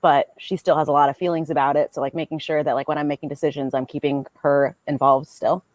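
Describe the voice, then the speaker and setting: A woman's voice: sits low, flat delivery, fast, darkish tone, no room sound. A young American woman talking casually as a podcast guest, chatty with lots of fillers. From United States. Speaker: guest business owner.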